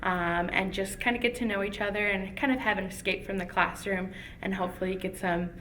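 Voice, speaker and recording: A woman's voice: mid-range voice, fairly even intonation, a little fast, fairly bright tone, far from the mic, slight background noise, low-quality recording. A young woman from Chicago, a sports coordinator, answering interview questions in an upbeat, friendly way. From Chicago, USA.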